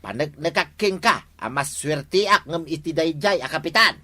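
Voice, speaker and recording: A woman's voice: low voice, expressive, normal speed, sharp tone, full character voice. A woman playing a pushy, teasing village neighbour in a radio comedy drama, emphatic and questioning.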